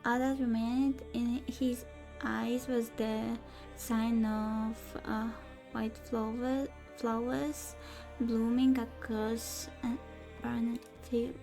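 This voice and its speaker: A woman doing casual gameplay commentary, haltingly reading on-screen text aloud and stumbling over words. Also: fairly high pitch, level tone, creaky, warm tone, low-quality recording. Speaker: game streamer. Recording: game music behind. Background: video game music.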